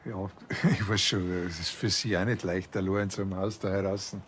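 An actor playing a cheeky, slightly naive police officer with a Bavarian accent in a TV crime comedy. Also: male voice, low voice, fairly even intonation, moderate pace, slight background noise, natural voice. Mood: nosy, familiar.

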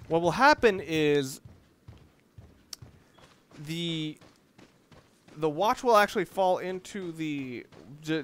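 A man's voice: a little high, a bit bright, slight background noise. A man giving casual video game commentary, rambling along and thinking aloud as he plays. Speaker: let's play host.

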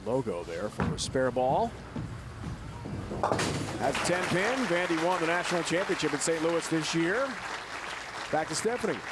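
A man doing energetic live play-by-play commentary on a bowling match from a packed arena. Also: a little high, a little slow, pauses often, heavy background noise. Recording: loud crowd and music behind. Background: loud cheering crowd and music.

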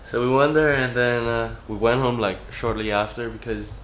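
A man's voice: mid-range voice, fairly even intonation, moderate pace, fairly bright tone, a little hiss or hum. A young man casually narrating a travel vlog into a handheld camera, easygoing and chatty. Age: young adult. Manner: easygoing, filming and narrating the day. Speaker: vlogger. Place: hotel room, beach cafe, outdoors.

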